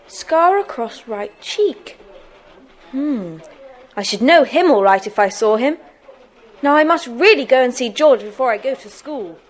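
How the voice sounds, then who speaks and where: A woman playing a keen, sensible schoolgirl who speaks deliberately in a children's audiobook drama. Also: sits high, animated. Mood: pensive. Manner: deliberate, careful reading.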